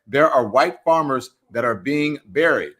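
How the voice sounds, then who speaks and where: A male show host in a measured voice, reading news reports aloud to a guest. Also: medium pitch, animated, very noisy.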